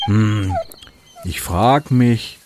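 A man's voice: fairly low pitch, very slow, a little mellow, slight background noise. An actor playing an easygoing solitary man who mutters and exclaims to his dog in a German radio play. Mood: astonished, curious.

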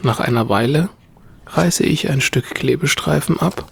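A man speaking in a measured, deliberate way with a husky, gravelly voice. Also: medium pitch, slight background noise. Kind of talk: slow scripted story reading, later casual chat.

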